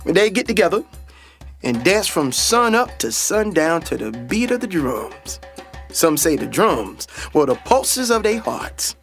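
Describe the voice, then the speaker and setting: A man's voice: high-pitched, sing-song, unhurried, fairly bright tone, heavily acted. A man playing a confident, dreamy older brother and aspiring pilot in a radio play, with an earnest, folksy storytelling manner. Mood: reverent storytelling. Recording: music behind a dry voice. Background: music.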